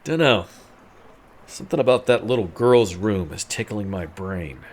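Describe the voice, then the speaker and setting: A man's voice: on the low side, lively intonation, normal speed. A man voicing a cocky older adventurer in a fantasy audio story, breezy, sardonic and theatrical with his joking banter.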